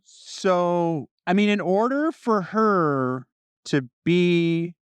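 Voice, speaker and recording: A man's voice: high-pitched, lively intonation, drawn-out words, smooth, dry recording. A man co-hosting a podcast, casually debating a story in an analytical, nitpicking way. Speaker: podcast co-host.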